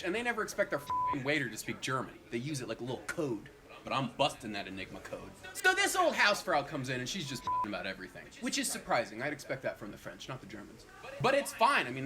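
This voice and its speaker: A restaurant waiter telling a story in a theatrical, profane way. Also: mid-range voice. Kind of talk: storytelling to camera.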